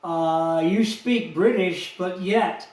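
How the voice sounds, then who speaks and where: Old man, 70s, folksy, hurt, unhurried, echoey room, distant mic. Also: sits high, a little mellow. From Texas, USA. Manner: slow, aggrieved, folksy. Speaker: vlogger. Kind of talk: rambling personal storytelling.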